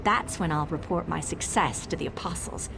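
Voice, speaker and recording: A woman's voice: deep voice, moderate pace, vocal fry, background noise. A woman voicing an eager, boastful young female mage tinkerer in a video game, dramatic and nervously evasive. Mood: apologetic, proud. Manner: dramatic, self-congratulating, hesitant when cornered. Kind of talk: video game character dialogue.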